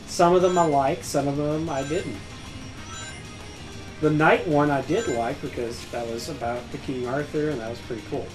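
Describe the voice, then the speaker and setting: A man with a moderately high voice talks casually as a gaming co-host. Video game music runs behind his conversational commentary. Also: fairly even intonation, normal speed, poor audio. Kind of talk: casual chat over gameplay.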